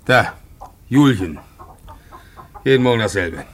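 Background noise sits behind a middle-aged pub landlord in an old radio play. He is gruff and grumbling, a family man who takes his time, and his intonation moves up and down a lot. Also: fairly low pitch, lively intonation, takes it slowly, a bit bright. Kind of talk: German radio drama acting.

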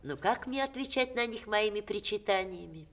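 An actress in a 1960s Russian radio play, playing a witty, ailing stage star with expressive theatrical diction. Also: female voice, medium pitch, moderate pace, lightly acted. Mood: fond, wry.

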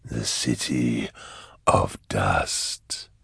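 Slow, whispering, bottomless-deep male demon. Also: fairly even intonation, creaky, sharp tone, husky, no echo, clean studio sound, exaggerated voice. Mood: sly, taunting. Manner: slow, drawn-out, insinuating whispery delivery. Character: hovering male demon host, huge-voiced, mockingly friendly and sly.

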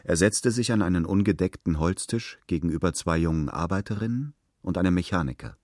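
A man's voice: deep voice, lively intonation, fairly quick, a little mellow, dry recording, studio quality. A man reading literary fiction aloud as a scripted narration.

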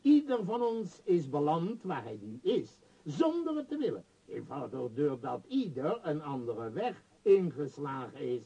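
A man's voice: a little high, big pitch swings, unhurried, few pauses, warm tone, breathy edge, muffled recording, heavily acted. An actor in a radio play voicing a vain, powerful middle-aged male tycoon with a pompous, emphatic, scornful manner. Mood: arrogant persuasion. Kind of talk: radio drama acting.

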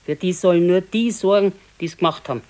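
A woman's voice: lowish voice, a little fast, a little mellow, lightly acted. A stoic elderly wife in a naturalistic radio drama, played by an actress. Her Bavarian-accented speech is terse and matter-of-fact, and she contradicts and scolds in a dry, unsentimental way. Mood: dry, reproachful. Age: older. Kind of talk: naturalistic radio play dialogue.

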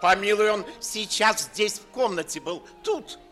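A lively, swooping high man's voice. He is an excitable, gossip-hungry dandy in a 1950s Russian radio comedy, theatrical and exclaiming, with faint music behind. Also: measured pace, heavily acted. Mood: excited, conspiratorial. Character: excitable society dandy, eager to spread gossip.

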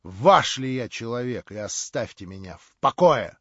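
A man's voice: mid-range voice, expressive, moderate pace, a bit bright, husky, no echo, voice pushed down. A man voicing a cold, proud young nobleman who speaks curtly and with contempt. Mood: scornful. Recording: dull lo-fi dry recording.

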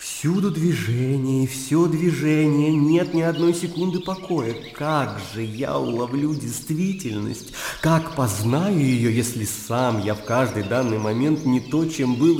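An actor playing a fervent, high-strung young idealist gentleman in a radio play, arguing in formal, rhetorical language. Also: echoey room, in a large hall, slight background noise.

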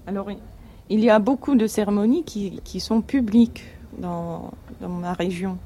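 A woman's voice: medium pitch, normal speed, dark tone, a little hiss or hum. A woman interpreting an interviewee's answers into French, plainly and in the first person.

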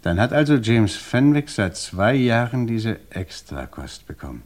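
An actor playing an investigator who questions a widow in a measured, insistent way. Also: male voice, mid-range voice, big pitch swings, darkish tone. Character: calm, probing male investigator interrogating a widow. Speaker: interrogator. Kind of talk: radio crime play.

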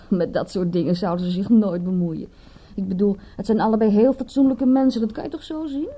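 An actress playing a flippant, defensive young woman in a radio drama, with a soft, husky voice. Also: mid-range voice, brisk, close to an everyday voice.

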